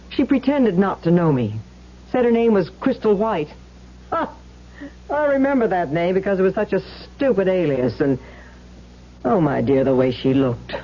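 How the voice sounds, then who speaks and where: A woman playing a gossipy, blunt suburban housewife in an old-time radio drama, chatty, exclamatory and scornful, on a muffled recording. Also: medium pitch.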